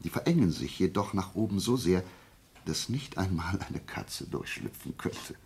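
A brainy radio drama detective, really low husky voice, swoopy emphatic delivery, very sure of himself. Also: male voice, animated, a little mellow, a little hiss or hum. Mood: assured, analytical. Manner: deliberate, emphatic, didactic explaining. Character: methodical, self-assured male amateur detective reasoning aloud. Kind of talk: radio play dialogue.

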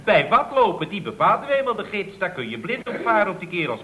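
Earnest, stammering employee, bright voice. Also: male voice, high voice, very animated, fast talker, full character voice. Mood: earnest, protesting. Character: earnest, deferential male employee timidly contradicting his boss. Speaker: actor in a comedy radio serial. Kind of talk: 1970s Dutch radio comedy acting. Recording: noisy old radio recording.

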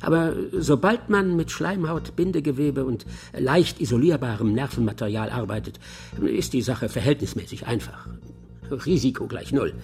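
Actor playing a self-assured, gravelly surgeon professor. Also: male voice, mid-range voice, moderate pace, a little mellow, soft background music, natural voice. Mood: clinical, confident. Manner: matter-of-fact, precise, unfazed by gruesome detail. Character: self-assured, coolly clinical experimental transplant surgeon, a professor. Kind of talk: German radio crime drama acting.

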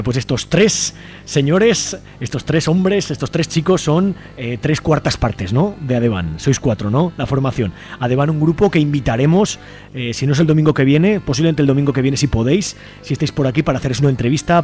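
A man hosts live community radio in a mid-pitched voice. He is chatty and colloquial, speaking straight to his audience and guests. Music plays lightly behind him in the studio. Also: lively intonation, a little fast, darkish tone. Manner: chatty, colloquial, addresses listeners and guests directly. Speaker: radio show host. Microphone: studio microphone.

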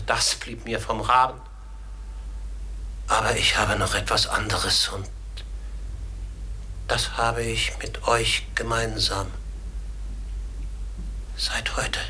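A man acting in a German radio play as a forlorn outcast raven, speaking gravely and haltingly with a lamenting, breathy delivery. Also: medium pitch, bright, forceful tone, a little hiss or hum. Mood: mournful, wistful. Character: forlorn talking male raven, outcast and afraid.

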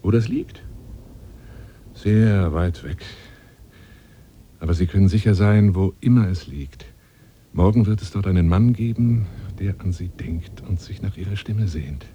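A man's voice: a little slow, pauses often, mellow, lo-fi sound, a little hiss or hum. An actor playing a lonely, boastful middle-aged bachelor adventurer wooing a woman by phone in a radio drama, with flowery, insinuating talk that drops into near-whispers. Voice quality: deep, gravelly. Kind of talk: radio drama monologue, one side of a phone call.

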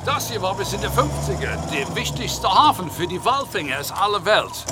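An actor playing a brisk, emphatic naval commander in a German radio drama, speaking haltingly with slightly foreign word order. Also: male voice, sits high, very animated, heavy background noise. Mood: emphatic, animated.

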